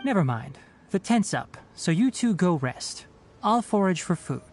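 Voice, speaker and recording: A calm young forest ranger from a video game, speaking in a lowish voice with lively rises and falls. He explains the wilderness patiently, like a teacher, with a mild teasing edge. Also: measured pace, over loud music. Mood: amused.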